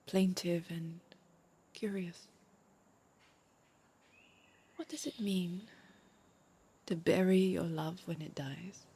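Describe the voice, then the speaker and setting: A woman's voice: fairly low pitch, dark tone, husky, heavily acted. An actress playing a sentimental, heartbroken woman clinging to her lover in an audio drama. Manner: emotional, pleading, echoing the other's words.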